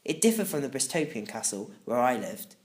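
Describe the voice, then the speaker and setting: Very animated man narrating a children's story. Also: mid-range voice, brisk. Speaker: audiobook narrator. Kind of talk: scripted first-person children's story narration.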